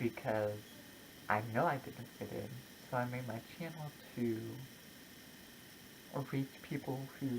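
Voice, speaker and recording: Slow-talking vlogger, noisy recording. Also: medium pitch. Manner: halting, reflective, short broken phrases. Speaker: YouTube content creator. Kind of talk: casual personal vlog, opinion talk to camera.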